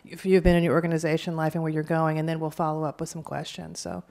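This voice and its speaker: A woman's voice: low-pitched, flat delivery, quick pace, vocal fry, a little mellow. A woman moderating a panel, introducing the speakers in a hesitant way with many fillers. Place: university event room.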